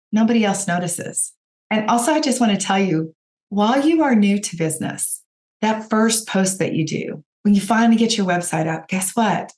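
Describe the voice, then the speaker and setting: A woman with a raspy voice, hoarse from a cold, hosting a podcast and giving direct, encouraging coaching talk to her listeners. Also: mid-range voice, darkish tone. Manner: encouraging, direct, addresses listeners as you guys. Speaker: podcast host and business coach.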